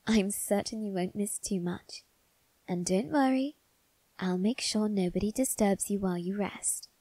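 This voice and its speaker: A suave young vampire lord, acted out by a woman, murmurs to the listener in a mellow, unhurried voice. He is doting and genteel, with a condescending, faintly sinister sweetness. It is an intimate ASMR roleplay, recorded close and dry. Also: medium pitch, dry recording, close to an everyday voice. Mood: soothing, persuasive. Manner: genteel, coaxing, softly condescending.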